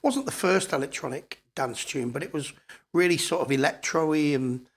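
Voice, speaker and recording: A man's voice: a little high, sing-song, moderate pace, breathy edge. A man in his fifties with a northern English accent, reminiscing candidly and casually as a podcast guest, in colloquial storytelling style. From born in Scotland, grew up in Blackpool, northern England. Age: 50s.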